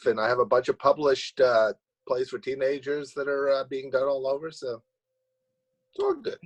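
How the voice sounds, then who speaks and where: A man's voice: medium pitch, highs cut off. A man answering interview questions as a playwright on a video call, casually rambling and often restarting his phrases. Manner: rambling, halting with repeated starts.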